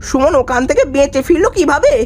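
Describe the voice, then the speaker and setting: A woman voicing a naive, excitable young village man in a cartoon, full of animated exclamations. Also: high voice, quick pace. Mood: astonished. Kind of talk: cartoon voice acting.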